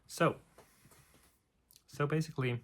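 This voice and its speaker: A man's voice: on the high side, steady tone, slow pace. A man, a composer explaining his process with many fillers, now and then humming melody lines. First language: Finnish.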